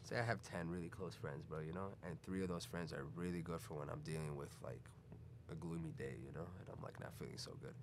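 A man's voice: sits low, quick pace. A young man, born in Venezuela and raised in Chicago, telling stories as a podcast guest in a rambling, laid-back way that leans on "bro" and "you know". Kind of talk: casual conversational storytelling. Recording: steady background noise.

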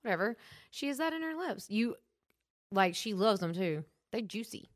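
A woman's voice: mid-range voice, moderate pace. A woman in her thirties telling stories in a casual chat with a friend, often breaking into laughter. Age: 30s. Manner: animated storytelling, frequent laughter. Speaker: co-host.

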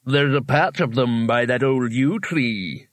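A man voicing a calm, helpful talking rock in a storybook, unhurried, conversational and deadpan. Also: medium pitch, dry recording, natural voice. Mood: placid, helpful.

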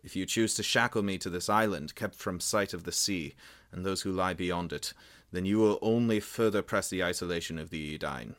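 A man voicing a proud, bitter young prince who speaks forcefully and resentfully. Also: low voice, moderate pace. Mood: defiant.

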